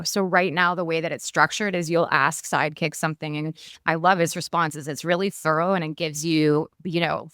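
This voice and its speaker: Low, clear-voiced woman on a panel. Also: no room sound, clean studio sound. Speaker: expert panelist. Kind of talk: conversational panel discussion.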